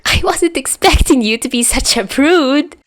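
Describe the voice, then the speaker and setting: Woman acting a manic, high-pitched teasing young man. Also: animated, a little slow, no echo. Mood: gleeful mocking. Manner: mocking, howling, giggly. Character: loud, manic, teasing young man, mischievous prankster friend. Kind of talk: hobby audio fiction acting.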